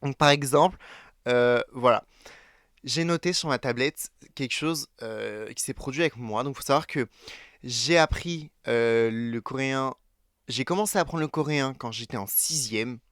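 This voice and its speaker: Teen male vlogger from France, chatty and self-correcting, dry close sound, mid pitch. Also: normal speed, a bit bright, dry recording. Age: teens. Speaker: YouTuber. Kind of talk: casual talk to camera.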